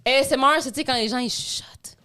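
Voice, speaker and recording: Teasing Québécoise woman, bright and high. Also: fast, crowd noise. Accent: Quebec French. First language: Spanish. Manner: teasing, animated storytelling with bursts of laughter. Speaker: podcast co-host. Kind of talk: casual chat.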